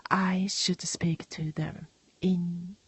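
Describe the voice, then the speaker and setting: Brief: woman, husky gravelly low voice, soft halting livestream talk, dry close sound. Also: little pitch movement, no room sound. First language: Japanese. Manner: soft, often half-whispered, halting with many fillers. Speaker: livestream host. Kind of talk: casual livestream monologue.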